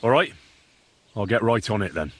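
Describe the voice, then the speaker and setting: An actor playing an earnest young errand-running hero in a video game, polite but stubborn, with a straightforward manner. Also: male voice, on the low side, animated, moderate pace, slightly rough, dry recording. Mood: obliging.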